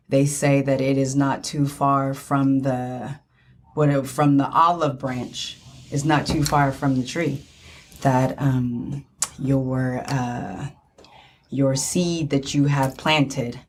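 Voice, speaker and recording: A middle-aged woman co-hosting in casual conversation, halting and repeating her words for emphasis. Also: rumbling low, little pitch movement, a little mellow, a little hiss or hum. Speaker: podcast co-host asking questions.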